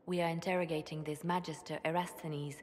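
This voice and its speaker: An actress playing a calculating female spymaster in a fantasy game, measured and formal. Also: sits low, little pitch movement, a little mellow, natural voice. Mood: cool, businesslike. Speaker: voice actor. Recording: long hall reverb.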